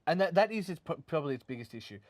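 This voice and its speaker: A man's voice: fairly high pitch, sing-song, very fast, a little mellow, dry recording. Casual Australian man hosting, dry close sound. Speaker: host of a video game review show. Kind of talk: casual unscripted review chat.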